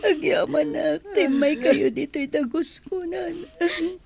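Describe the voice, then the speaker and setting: An emotional grown daughter in an Ilocano radio drama, acted by a woman. Her voice is high-pitched, with an Ilocano accent and heartfelt delivery. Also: slow pace, gravelly, darkish tone, full character voice. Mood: tearful, pleading. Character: emotional grown daughter and mother seeking her mother's forgiveness.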